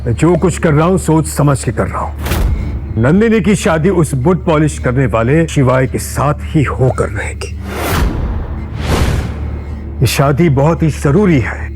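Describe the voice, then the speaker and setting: A man playing a wealthy, stubborn old grandfather and tycoon in an audio drama, speaking with deliberate authority. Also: a little high, lively intonation, over music. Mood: resolute. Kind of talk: Hindi audio drama acting.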